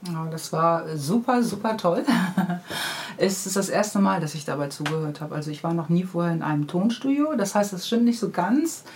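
A woman with a fairly low voice answers as an interview guest. She is casual and enthusiastic. Faint ambient noise sits behind her. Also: lively intonation, normal speed, darkish tone. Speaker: interview guest, children's book author. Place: studio.

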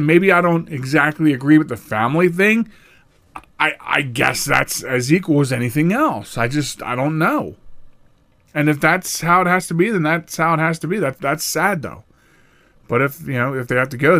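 Man, middle-aged, podcast host, solo monologue, rambling, animated, fairly high-pitched. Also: moderate pace. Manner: animated, rambling with restarts, pitch jumps up for emphasis.

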